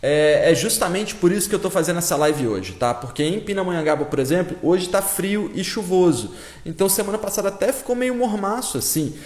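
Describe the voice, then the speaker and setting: A man's voice: high-pitched, animated, brisk, darkish tone. Brazilian man teaching in a roomy echo. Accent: Brazilian Portuguese. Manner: casual explaining, reads out viewer names, constant "tá?" check-ins. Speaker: Ayurveda teacher hosting a live stream. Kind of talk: live-stream Q&A lesson.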